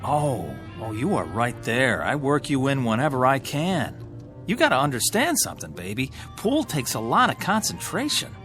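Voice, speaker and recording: An actor playing a cocky, obsessed young pool shark in a radio drama, with a brash, insistent, slangy way of talking. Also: male voice, medium pitch, wildly swooping pitch, moderate pace, a bit bright, soft background music. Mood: placating.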